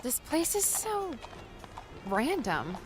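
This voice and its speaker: Woman playing a bewildered, animated young newcomer girl. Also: sits high, slow pace, full character voice, rough recording. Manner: incredulous, questioning. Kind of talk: audiobook character dialogue. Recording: music behind the voice.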